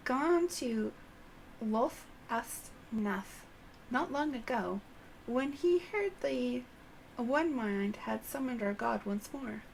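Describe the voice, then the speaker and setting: A woman voicing a courteous insectoid elder storyteller from a game, with formal, archaic, drawn-out phrasing. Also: fairly high pitch, expressive, gravelly, a little hiss or hum, exaggerated voice, rough recording. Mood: solemn, measured. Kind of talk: game dialogue read aloud.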